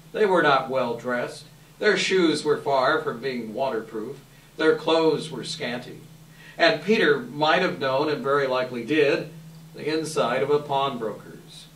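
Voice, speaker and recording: A man reading scripted story narration at a live readers theater, in a measured storytelling manner. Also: medium pitch, a bit bright. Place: live reading in a roomy hall. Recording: roomy, distant from mic.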